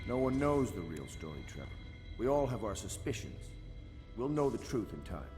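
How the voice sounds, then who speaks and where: A stern, deep-voiced navy admiral. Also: male voice, very animated, moderate pace. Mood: grave. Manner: blunt, authoritative. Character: stern, blunt, commanding navy admiral leading loyalist rebels. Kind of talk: scripted video game dialogue. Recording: long hall reverb under music.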